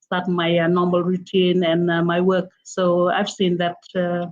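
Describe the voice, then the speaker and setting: A middle-aged woman with a Fijian accent talks haltingly, filling her pauses with "uh" and "um." Her pitch stays flat and level, and the call audio sounds dull and lo-fi. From Fiji. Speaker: interview guest. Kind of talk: casual interview. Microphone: video call.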